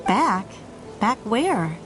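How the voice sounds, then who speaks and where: An actress voicing a spirited, stubborn young woman in a video game, with a feisty, expressive manner. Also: a little high, extremely slow, a little hiss or hum, full character voice, poor audio. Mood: excited, urgent. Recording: music behind the voice. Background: game music.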